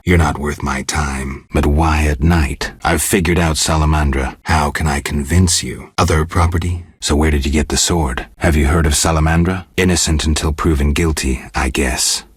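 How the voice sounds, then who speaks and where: Very deep, raspy monster hunter. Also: male voice, dry recording, clean studio sound, heavily acted. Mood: contemptuous, stern, then probing, dry. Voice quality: gravelly, husky. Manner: terse, deadpan, restrained. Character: stoic, weary monster-hunting witcher, adult man. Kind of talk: video game character dialogue.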